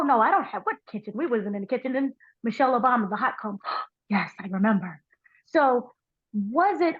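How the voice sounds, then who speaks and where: A woman interviewer asks her questions in a chatty, halting way, tying them to her own memories. Her voice is a little husky and dry, squeezed through a thin, tinny phone-like line. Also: fairly high pitch, no room sound.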